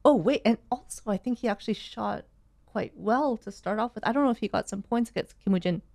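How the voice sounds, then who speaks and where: Female host, Canadian accent, mid-range pitch, upbeat and conversational, keen on the sport. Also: brisk, creaky, dark tone. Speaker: host of a daily sports show. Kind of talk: casual panel chat.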